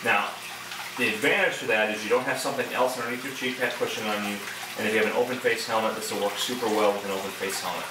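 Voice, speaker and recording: A man's voice: on the low side, steady tone, fast. Echoey, distant man reviewing a product. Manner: explanatory, step-by-step, conversational. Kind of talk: casual product demonstration and review. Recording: echoey, distant mic, clear background noise.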